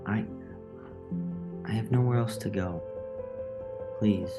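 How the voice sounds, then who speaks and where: An earnest young mouse in an audio drama, naive and a little hesitant. The voice sits on the low side, with music clearly playing behind it. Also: male voice, slow pace. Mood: pleading.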